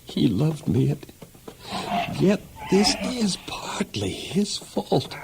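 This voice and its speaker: A man playing an earnest, devout young slave lad with halting, emotional delivery in an audio Bible drama. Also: on the high side, wildly swooping pitch, vocal fry, noisy background, exaggerated voice. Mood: grieving.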